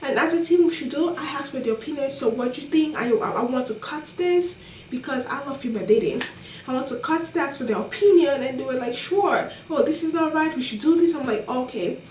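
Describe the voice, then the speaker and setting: A young woman with a non-American accent telling college stories casually, animated and exasperated, with many fillers. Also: high-pitched, brisk, roomy sound, distant mic, a little hiss or hum. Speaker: college student vlogger. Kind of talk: casual storytelling to camera.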